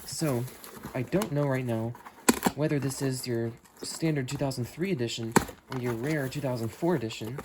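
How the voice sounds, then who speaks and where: A man's voice: medium pitch, moderate pace, very noisy. A man hosting a casual unboxing video, talking through what he is handling as he goes. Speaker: host unboxing weather radios.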